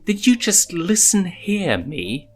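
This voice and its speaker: A man's voice: high voice, big pitch swings, takes it slowly, faint music behind, voice pushed up, exaggerated voice. A man voicing a smug, teasing young lioness who bosses cubs around, in a storybook reading. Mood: threatening, bossy. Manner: teasing, commanding. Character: smug, teasing young adult lioness cub-sitter, bossy.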